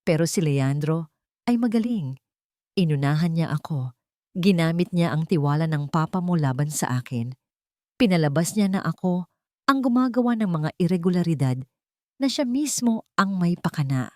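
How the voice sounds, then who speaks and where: A man's voice: on the high side, dark tone, dry recording, clean studio sound, close to an everyday voice. A woman voicing an old, betrayed but loyal fisherman in a Tagalog story, speaking with earnest grief.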